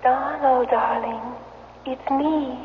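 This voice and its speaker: An actress playing an eerie, loving ghost of a young woman, slow and beckoning, in an old-time radio drama. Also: high-pitched, fairly even intonation. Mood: eerily loving. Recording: echoing, dull, noisy vintage radio recording.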